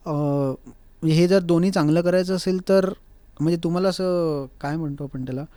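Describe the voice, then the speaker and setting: A man's voice: sits high, fairly even intonation, fairly quick, dark tone, no echo. A young man, answering interview questions conversationally as a guest singer and slipping in English phrases. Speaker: guest vocalist being interviewed. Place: recording studio.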